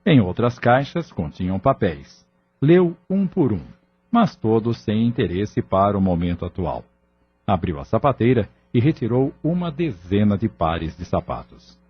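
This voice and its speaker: A man narrating a scripted audio novel in a measured, dramatic storytelling manner. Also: on the low side, big pitch swings. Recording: muffled, lo-fi track with music. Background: clear background music.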